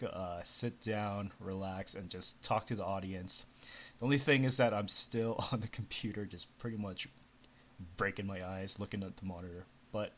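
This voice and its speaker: A man's voice: on the low side, slight background noise. A man who grew up in Canada, hosting a live educational show from his home computer in a casual, chatty way with small laughs and fillers. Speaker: podcast host. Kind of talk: casual live radio chat.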